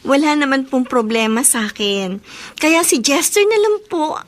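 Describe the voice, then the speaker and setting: A polite young fiancée. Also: female voice, high-pitched, sing-song, measured pace. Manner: polite and deferential. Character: sweet, respectful young fiancée. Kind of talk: Filipino radio drama acting.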